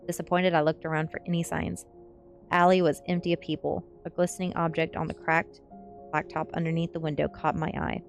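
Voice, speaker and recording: A woman with a low voice narrates a story as a read-aloud. She speaks close to the microphone, and faint music plays behind her. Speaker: streamer reading a novel aloud. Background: faint background music.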